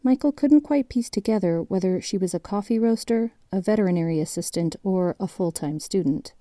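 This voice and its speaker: A woman playing the composed narrator of a grim documentary-style mystery, reading in a measured, matter-of-fact way. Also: medium pitch, warm tone, no echo, clean studio sound, close to an everyday voice. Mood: measured, grave.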